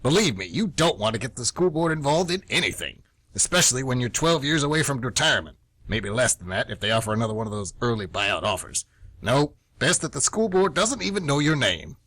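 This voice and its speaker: Man acting a grumpy older teacher, wildly animated. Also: medium pitch, brisk, no echo. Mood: bitter, grumbling. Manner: ranting, emphatic, indignant. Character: grumpy old-school male high-school teacher nearing retirement. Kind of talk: amateur radio play acting.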